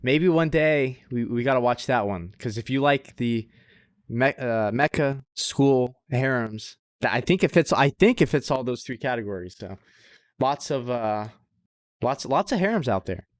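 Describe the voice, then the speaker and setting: A man's voice: mid-range voice, expressive, measured pace, dry recording. Casual slangy man, close dry sound. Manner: profane, slangy. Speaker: podcast co-host. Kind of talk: casual chat about anime.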